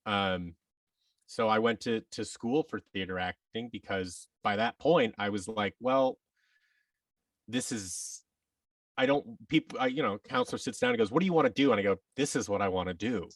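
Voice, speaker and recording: A man telling his story casually as a podcast guest, reflective and halting, often acting out bits of quoted dialogue. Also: lowish voice, no room sound, recorded from a distance. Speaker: podcast guest, actor and audio drama producer.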